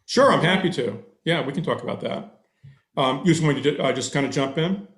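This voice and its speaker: A man's voice: fairly high pitch, lively intonation, brisk, roomy sound. A middle-aged man, an attorney, answering a board's questions on a video call through a headset, thorough and a bit long-winded, with many fillers.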